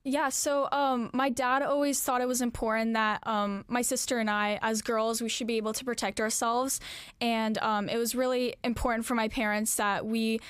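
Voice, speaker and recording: A teenage girl speaks earnestly in a news interview, her fairly high voice smooth and clear. She pauses with a lot of "um"s as she reflects. The sound is dry and close, with no room in it. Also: level tone, dry recording. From Alaska, US. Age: late teens. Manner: earnest, many "um" fillers, reflective. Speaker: interviewee, graduating student.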